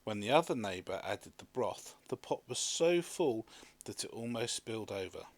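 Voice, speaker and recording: A man reading a children's story aloud as an expressive scripted narration. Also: on the low side, moderate pace, no room sound. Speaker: storybook reader.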